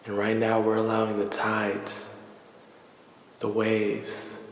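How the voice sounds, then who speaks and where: A man leading a guided meditation in a calm, deliberate, soothing manner, pausing between measured phrases. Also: fairly low pitch. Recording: echoey reverberant voice with faint noise.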